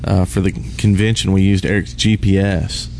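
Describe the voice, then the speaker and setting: A man's voice: sits low, flat delivery, moderate pace, a little mellow. A man co-hosting a gaming podcast, telling casual anecdotes on a convention floor. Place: busy convention hall floor. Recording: noisy convention floor ambience. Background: convention floor background noise.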